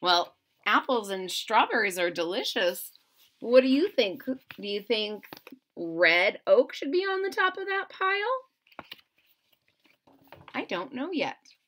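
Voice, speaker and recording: A woman's voice: fairly high pitch, very animated, slow pace, pauses often, a bit bright. A woman reading a children's story aloud expressively, with asides and questions to her listeners. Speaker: storybook reader.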